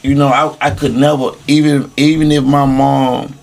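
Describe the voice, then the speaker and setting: A man's voice: mid-range voice, level tone, moderate pace, gravelly, darkish tone. A man chatting casually on a podcast, informal and emphatic with profane street slang. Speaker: podcast speaker.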